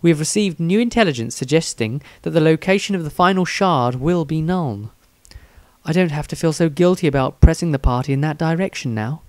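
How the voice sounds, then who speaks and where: A man's voice: a little high, expressive, a little fast, darkish tone, dry recording, natural voice. A man voicing an earnest female adventurer, matter-of-fact, in a storybook diary.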